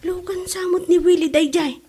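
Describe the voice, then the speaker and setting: Woman acting a teasing, high-voiced female street sweeper. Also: steady tone, moderate pace, dark tone, slight background noise. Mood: playful. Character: teasing, stubborn young female street sweeper who loves singing. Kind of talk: Ilocano comedy drama acting.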